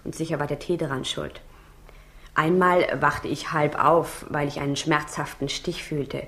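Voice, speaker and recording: An actress playing an intrigued, wry woman recounting events with measured, vivid delivery in a 1960s German radio drama. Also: low voice, steady tone, a bit bright.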